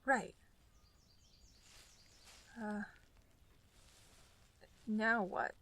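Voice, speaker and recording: A woman's voice: medium pitch, extremely slow, fairly bright tone. A young woman playing a blunt, sardonic, stubborn college girl in an audio drama, with a wry, teasing manner. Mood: earnest, wry. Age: young adult.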